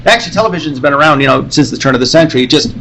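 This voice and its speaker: Higher-pitched, quick-talking man. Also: gravelly, a bit bright, slight background noise, poor audio. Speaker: podcast panelist. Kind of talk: casual group chat. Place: live at a comic convention.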